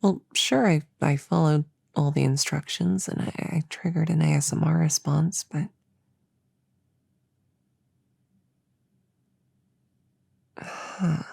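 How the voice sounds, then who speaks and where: A woman playing a flustered, earnest young novice witch in an ASMR audio roleplay, soft-spoken and halting, slipping into whispers. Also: low voice, creaky, husky, no room sound, clean studio sound, exaggerated voice. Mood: defensive resolve.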